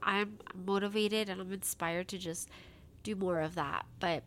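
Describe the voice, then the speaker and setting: A woman's voice: medium pitch, fairly even intonation, unhurried, a little hiss or hum. A woman in her late twenties hosting a casual solo podcast, chatting in a bubbly way full of "like" fillers, with a stuffy, congested nose. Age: late 20s. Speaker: podcast host.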